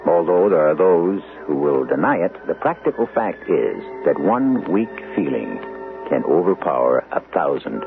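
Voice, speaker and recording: Radio host, male, rumbling and gravelly, tinny old broadcast with music underneath. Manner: measured, philosophical, deliberate. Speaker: host of a radio drama series. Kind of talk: 1970s radio drama host commentary.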